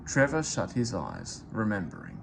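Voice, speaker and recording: A man narrating a children's storybook aloud, in a gentle, expressive way. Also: deep voice, moderate pace, gravelly, noisy background.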